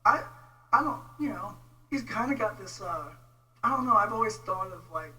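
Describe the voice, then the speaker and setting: A man with a Texan accent giving an informal, halting, exasperated rant on a casual livestream. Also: high-pitched, animated, bright, forceful tone, faint music behind. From Texas, United States. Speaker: vlogger, religious debate commentator. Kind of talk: casual livestream rant replying to viewer comments. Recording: distant mic with faint noise and hum.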